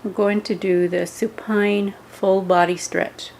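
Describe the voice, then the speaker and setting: Slow-paced woman guiding a meditation. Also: mid-range voice, level tone, a little mellow, a little hiss or hum. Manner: measured, instructional, with pauses between short phrases. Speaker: meditation and yoga instructor. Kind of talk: scripted guided meditation instruction.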